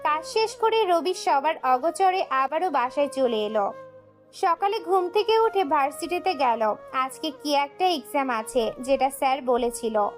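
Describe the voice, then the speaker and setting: A woman with a very high voice narrates a dramatic audio story from a script. Soft music runs behind her narration.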